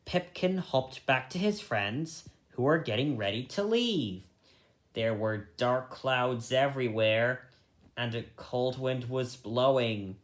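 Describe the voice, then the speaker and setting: A man's voice: mid-range voice, animated, takes it slowly. A man reading a children's story aloud as narrator, recorded in an echoey room. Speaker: story reader.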